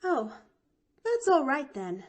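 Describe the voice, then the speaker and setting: Woman voicing a cheerful, talkative little girl. Lively, bright-toned pitch, unhurried, folksy drawl. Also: full character voice. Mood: earnest. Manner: eager, chattering, folksy drawl. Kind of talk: audiobook reading.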